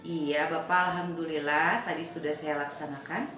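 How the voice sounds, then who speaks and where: A woman conducts a coaching interview. She is polite and attentive, with a slightly low voice. The recording is heavily reverberant, with clear noise behind her. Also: steady tone, normal speed, fairly bright tone. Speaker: teacher coach. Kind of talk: role-play coaching interview. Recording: very echoey room with clear noise.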